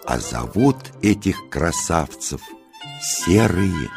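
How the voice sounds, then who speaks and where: Very deep, slow male storyteller. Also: creaky. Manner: measured, expressive storyteller's delivery. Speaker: narrator. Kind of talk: scripted children's storytelling. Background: music.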